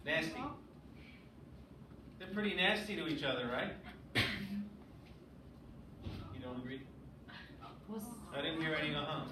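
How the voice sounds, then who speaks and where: A man's voice: fairly high pitch, expressive, unhurried, long pauses. A man teaching a grade school English class, often checking understanding with short questions. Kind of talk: classroom lesson with read-aloud. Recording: echoey, noisy room, distant mic.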